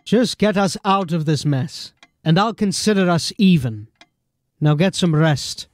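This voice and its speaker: A man playing a stern, skeptical but loyal police captain in an audio drama, measured and admonishing. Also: high-pitched, no echo. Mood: reluctant, stern.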